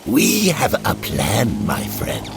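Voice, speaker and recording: A man playing a slow, menacing villain in a video game cutscene. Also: lowish voice, wildly swooping pitch, vocal fry, noisy background, heavily acted. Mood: sinister calm.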